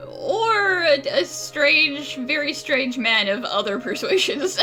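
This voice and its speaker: A woman's voice: high voice, animated, takes it slowly, sharp tone, soft background music. A woman gaming streamer giving casual, joking commentary in a playful, sardonic manner.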